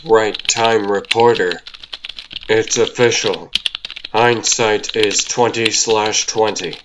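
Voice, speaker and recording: A man's voice: lowish voice, noisy background. A man playing an earnest young reporter who is secretly a superhero, in a wry comic dub performance. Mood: wry, rueful.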